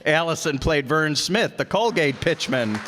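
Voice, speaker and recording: A man's voice: fairly high pitch, expressive, unhurried, a bit bright, some chatter behind. A man giving informal, off-the-cuff closing thanks to an audience from a stage, in a joking way. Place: live stage in a hall. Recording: echoey hall with audience noise.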